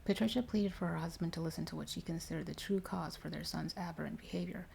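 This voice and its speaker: Creaky, soft-spoken woman reading ASMR stories. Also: on the low side, fast, slight background noise. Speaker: reader of paranormal stories for ASMR. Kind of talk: ASMR reading aloud of a narrative book.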